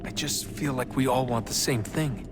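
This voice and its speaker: A man's voice: medium pitch, moderate pace, noisy background, lightly acted. An actor playing an earnest, doubtful young man in a video game, with a hesitant, searching way of talking. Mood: questioning. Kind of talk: video game cutscene acting.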